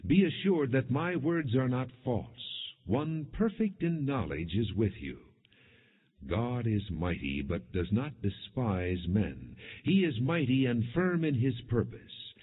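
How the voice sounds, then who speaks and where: A man narrating scripture in a solemn, measured, declamatory way, as in an audio Bible. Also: medium pitch, very animated, slightly rough. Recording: phone-like narrow band, long hall reverb.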